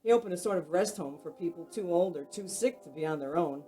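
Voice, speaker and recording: An actor voices a property owner in a radio play, reminiscing about a place's past. The voice is medium in pitch and conversational, with music playing behind it. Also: fast talker, mellow, lightly acted. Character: chatty local property owner telling its history. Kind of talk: radio drama acting.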